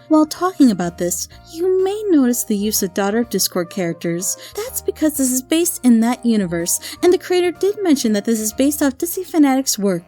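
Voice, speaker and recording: A woman reviewer reading a scripted critique in a measured, opinionated way. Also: high voice, dark tone. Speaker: audio drama reviewer.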